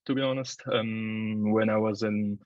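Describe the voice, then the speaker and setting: Man, early twenties, from Switzerland, lowish voice, casual interview guest, dull dry recording. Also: steady tone, a little slow, darkish tone, no echo. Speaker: interview guest, videographer and agency owner. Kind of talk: casual chat, telling own story.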